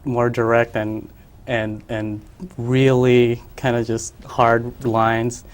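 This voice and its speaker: Soft-spoken man with halting speech. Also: medium pitch, flat delivery, clean tone. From Philippines. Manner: hesitant, many fillers and restarts. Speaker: artist guest. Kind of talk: casual interview. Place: local cable TV studio.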